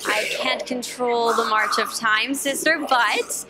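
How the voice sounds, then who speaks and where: A woman's voice: a little high, fairly even intonation, slow, heavy background noise. A young woman playing a self-willed female countdown program on stage, blithe and needy. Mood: blithe, teasing. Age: young adult. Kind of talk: staged comic audio drama acting. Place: theatre stage before an audience. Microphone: stand microphone.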